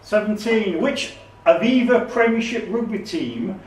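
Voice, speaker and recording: Man, high-pitched voice, reading quiz questions, roomy echo, faint background noise. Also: expressive, a little slow. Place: echoey indoor room at a live quiz event. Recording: distant mic in echoey room, background noise.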